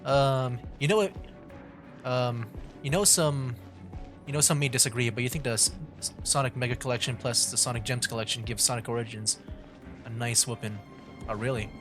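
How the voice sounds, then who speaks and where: A man's voice: mid-range voice, normal speed, long pauses. A man chatting casually as he streams a game, with informal nerdy asides. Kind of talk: casual gaming stream commentary, reading some dialogue aloud. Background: game music.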